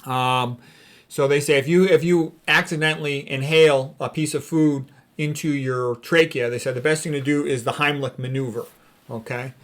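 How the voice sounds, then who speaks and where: A man's voice: a little high, fairly even intonation, normal speed. A man speaking as a doctor in an informal lecture, reading out quotes and commenting on them in a blunt, emphatic, opinionated way. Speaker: doctor commenting on diet and medicine.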